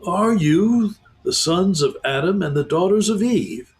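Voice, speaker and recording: A man voicing a wary, secretive male beaver in a hoarse, throaty whisper, pitched well above his own voice. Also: expressive, unhurried. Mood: urgent hushed. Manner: urgent, hushed, raised higher.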